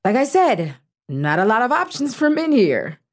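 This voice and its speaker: A woman acts a world-weary young survivor in an audio drama. Her husky voice moves animatedly in pitch, wry and blunt in its delivery. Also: big pitch swings, normal speed. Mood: blunt, dismissive. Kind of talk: amateur audio drama acting.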